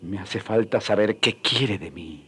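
A man's voice: lowish voice, unhurried, pushed and bright, husky, heavily acted. An actor playing a weary, humble man seeking peace in a radio drama, resigned and penitent, with sighing breath. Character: weary, humble, dejected Spanish defender of the natives, seeking peace.

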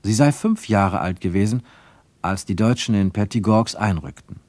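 Low-voiced, wry narrator. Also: male voice, darkish tone, no room sound. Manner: measured, wry and ironic. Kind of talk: scripted literary narration; 1950s radio play.

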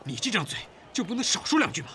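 An actor playing a fussy shopkeeper who coaxes and makes peace in an audio drama. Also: male voice, high-pitched, very animated, rapid-fire, bright, forceful tone, a little hiss or hum, exaggerated voice. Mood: flustered, pleading. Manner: coaxing, peacemaking. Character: fussy, placating fish-buying shopkeeper, a man.

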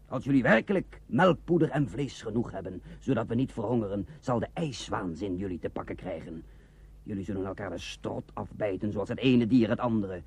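An actor playing a grim, fatalistic doctor stranded on the polar ice in a 1960s radio drama, with a declamatory delivery. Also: male voice, mid-range voice, darkish tone, slightly rough, dry recording. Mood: dark warning.

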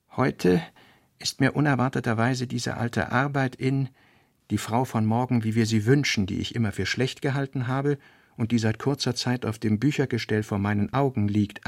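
Creaky-voiced man reading literature. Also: mid-range voice, no room sound, clean studio sound. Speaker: reader in a literary radio play. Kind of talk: literary narration and diary reading.

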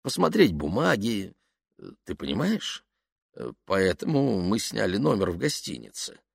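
A man's voice: fairly low pitch, huge pitch swings, unhurried, no echo, clean studio sound, heavily acted. A man voicing a patronizing, hot-tempered businessman in a story, sliding between condescension and outraged bluster. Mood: confidential, evasive. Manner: smooth condescension breaking into outraged yelling.

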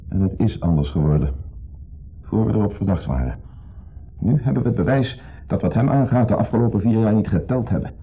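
An actor playing an insecure, jealous husband in a 1970s radio play, accusing, brooding and sardonic. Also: male voice, lowish voice, lively intonation, a little fast, slightly rough. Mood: bitter, agitated. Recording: muffled phone-like old radio recording.

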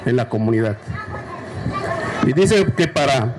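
A man from Oaxaca with a Mexican accent, giving a public speech at a community forum in slow, deliberate short phrases with pauses. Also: mid-range voice, animated, a crowd in the background, noisy background.

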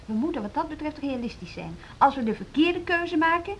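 An earnest, ambitious woman director with a fairly high voice, talking like real conversation with hesitant turns. It is a naturalistic radio play, with faint noise and rumble on an old recording. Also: expressive, fairly quick, fairly bright tone. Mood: earnest, reasoning. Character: ambitious, earnest female music school director, weary of politicking. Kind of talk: naturalistic Dutch radio drama dialogue.

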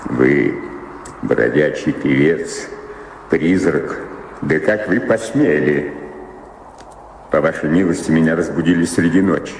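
An actor playing an indignant, scolding manservant of a noble house in a radio drama. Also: male voice, extremely low pitch, slow pace, long pauses, full character voice. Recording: reverberant hall sound with faint noise.

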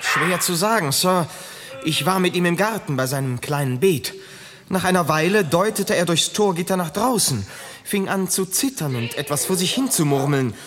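An actor in a German radio drama playing a deferential asylum attendant who reports respectfully and a little hesitantly. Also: male voice, high-pitched, animated, moderate pace, a bit bright. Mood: dutiful, uneasy. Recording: clear background noise with clicks.

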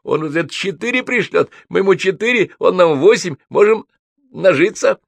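A man voicing a drunken male poet who jabbers squeakily and recites eagerly. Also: expressive, normal speed, creaky, no echo, heavily acted. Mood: tipsy, eager. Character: sloppy dead-drunk male poet reciting silly epigrams.

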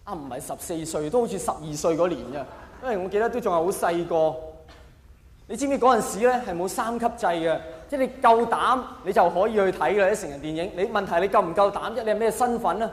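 A man's voice: high-pitched, quick pace. A man with a Hong Kong accent performing a stand-up comedy monologue, telling stories theatrically and putting questions to his listeners. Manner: theatrical storytelling with rhetorical questions. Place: live on stage in a large hall. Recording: echoey hall with long reverb.

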